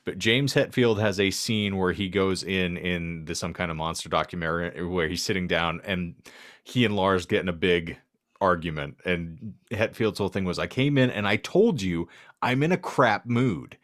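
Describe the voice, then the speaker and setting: A man with a low-pitched voice hosts a casual comedy podcast. He favours wordy, mock-formal turns of phrase and delivers his jokes with dry humour. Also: moderate pace, a bit bright. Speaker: podcast host.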